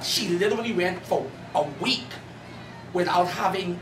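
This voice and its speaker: A man's voice: high voice, moderate pace. Bahamian-born man sharing his testimony. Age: 30s. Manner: earnest storytelling, rising to animated emphasis. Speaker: author and pastor. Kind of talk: personal testimony at a bookstore event. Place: coffee cafe in a shopping mall. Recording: roomy, distant, noisy cafe recording.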